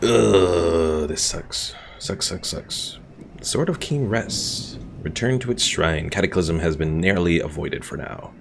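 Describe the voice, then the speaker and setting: A man's voice: sits low, slow pace, vocal fry, a little hiss or hum. A man streaming a strategy game, chatting casually and self-deprecatingly about his battles and answering his chat. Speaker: gaming streamer. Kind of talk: casual gameplay commentary.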